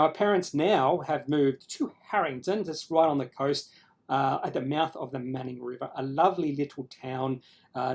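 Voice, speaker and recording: Male video host, Australian, mid-pitched, casual and explanatory, with a halting, self-correcting delivery. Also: darkish tone. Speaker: finance video creator and host. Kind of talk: casual explanatory talk to viewers.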